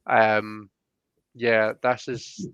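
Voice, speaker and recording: A man's voice: on the low side, flat delivery, drawn-out words, a bit bright, smooth, dry recording, dull audio. A man giving a conversational tarot reading, explaining each point in a reflective, searching way. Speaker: tarot reader.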